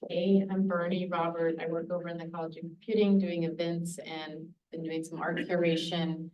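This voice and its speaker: A woman's voice: fairly low pitch, level tone, normal speed, distant mic, lo-fi sound. A woman who does events and art curation, introducing herself in a matter-of-fact way with some hesitation. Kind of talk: casual self-introduction.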